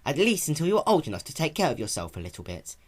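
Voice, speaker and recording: A performer voicing a caring female pony in a cartoon-based story reading, soft, patient and reassuring like a big sister. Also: medium pitch, wildly swooping pitch, very fast, slightly rough, pitched above the performer's own voice.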